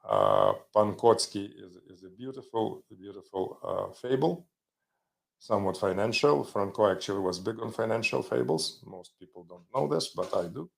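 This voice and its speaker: A man's voice: lowish voice, very animated, normal speed, creaky. A man hosting a live show, rambling and chatty with joking asides. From Ukraine. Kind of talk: casual live-stream demo.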